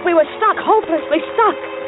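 A woman's voice: very high-pitched, moderate pace, over loud music. An actress playing a frightened captive woman, earnest and dramatic, in an old-time radio thriller. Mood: anxious recounting. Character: frightened captive woman, pleading and narrating her ordeal. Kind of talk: old-time radio thriller acting, first-person narration. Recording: noisy, tinny old radio recording.

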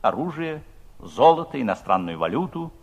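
Unyielding officer, husky, lively intonation, polite, firm, ironic, vintage radio drama. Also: male voice, mid-range voice, moderate pace. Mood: firm, official. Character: courteous, unyielding secret-police officer leading a search. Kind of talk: 1960s Soviet radio drama acting. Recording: 1960s radio play tape.

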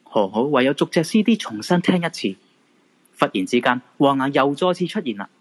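Husky, very lively male drama narrator. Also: medium pitch, a little fast. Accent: Hong Kong Cantonese.

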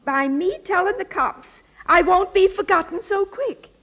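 High-voiced, sly, chatty old lady. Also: expressive, measured pace, a bit bright, lo-fi sound, exaggerated voice. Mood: sly, eager. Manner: chatty, folksy, coyly evasive. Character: lonely, attention-hungry old former actress, sly murder suspect. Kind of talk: old-time radio drama acting.

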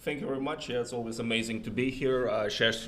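A man's voice: steady tone, fast. A young man from Russia and Sweden, answering casually as a guest in an interview. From born in Russia, grew up around Europe, partly Sweden. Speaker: guest, patent research client trainer. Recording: background rumble, clear noise.

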